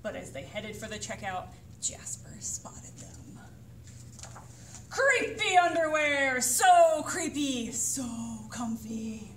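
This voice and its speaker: A woman reading a picture book aloud to children as a librarian, with dramatic, suspenseful delivery. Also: high-pitched. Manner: expressive, suspenseful pauses and sudden exclamations. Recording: roomy echo, distant mic, faint noise.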